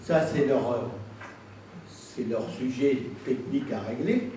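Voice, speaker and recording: A man speaking as a council president, explaining himself in an insistent, deliberate way at a public meeting. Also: mid-range voice, dark tone. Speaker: president of an intercommunal council answering questions. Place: indoor council meeting room. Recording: very echoey room, distant mic, faint noise.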